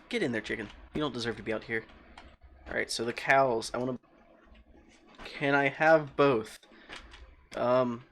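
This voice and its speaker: Male game streamer, calm voice, middle pitch, rambling and chatty with viewers, faint typing behind. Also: slight background noise. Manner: rambling, thinking aloud and answering chat. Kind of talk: casual livestream chat while playing.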